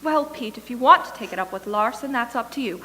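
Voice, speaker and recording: A woman acts out a brisk, exasperated radio producer in an old-time radio comedy. Her voice sits high and bright and cuts sharply through the room. It is recorded in a reverberant hall with some background hiss. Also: expressive. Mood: impatient, dismissive. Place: stage in a hall, live audience performance. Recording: echoey hall reverb, hissy background noise.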